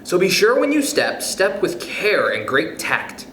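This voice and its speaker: A man reading a children's picture book aloud in a playful, expressive way, chuckling between passages, then speaking to young listeners himself. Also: high-pitched, measured pace, a little hiss or hum. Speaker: youth club storyteller. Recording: echoey room.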